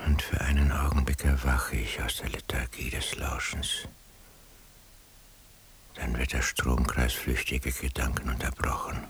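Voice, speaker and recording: An actor playing a weary, brooding surveillance eavesdropper in a radio drama monologue, speaking introspectively in a breathy half-whisper. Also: male voice, rumbling low, level tone. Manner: slow, measured, introspective. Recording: hissy radio drama recording.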